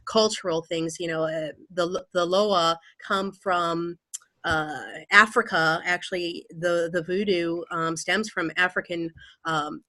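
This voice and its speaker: A woman's voice: on the low side, fairly even intonation, slow pace, pushed and bright, dry recording. A woman from Wisconsin speaking as an author on a panel, easygoing with frequent fillers. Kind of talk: casual panel talk. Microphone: video call.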